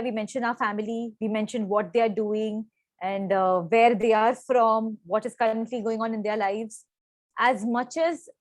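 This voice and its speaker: A woman's voice: on the high side, darkish tone, dry recording. A young woman with an Indian accent, coaching pageant contestants in an instructional talk and mixing Hindi phrases into her English. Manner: switches into Hindi phrases mid-sentence; clear, coaching tone with frequent "right?" checks. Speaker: pageant trainer.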